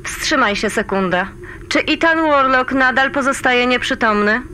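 Brisk female handler for audio drama, colloquial command, sharp bright tone, faint ambient noise. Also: high voice, poor audio. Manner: colloquial, authoritative. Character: brisk, wry female mission controller. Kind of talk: sci-fi audio drama acting.

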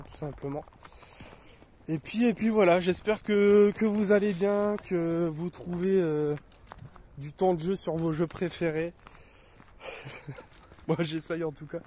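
A man's voice: on the high side, warm tone, a little hiss or hum. A man in his thirties with a cold, chatting casually and rambling over his gameplay at home. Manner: rambling, many fillers and restarts. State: has a cold. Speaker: gaming YouTuber. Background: game gunfire and effects.

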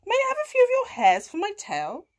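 A woman's voice: extremely high pitch, wildly swooping pitch, a little fast, pitched above the performer's own voice, heavily acted. A woman voicing a friendly female farm cat in a storybook, politely coaxing and coy, with a sing-song manner. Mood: bright, coaxing.

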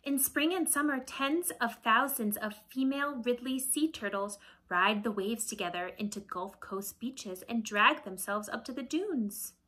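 Breathy woman reading a picture book to children. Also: a little high. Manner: deliberate, expressive storytelling, often dropping to a hush. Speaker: Montessori teacher reading aloud to children. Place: small indoor room at home with shelves.

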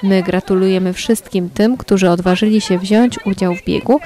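Lady doing tv news voice-over, mellow tone, faint crowd noise under it. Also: medium pitch. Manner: even, measured read. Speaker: news reporter. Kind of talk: scripted news narration.

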